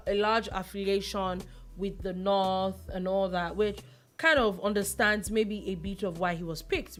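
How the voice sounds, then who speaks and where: Chatty woman radio host. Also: medium pitch. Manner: chatty, thinks aloud with many fillers and restarts. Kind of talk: news analysis chat.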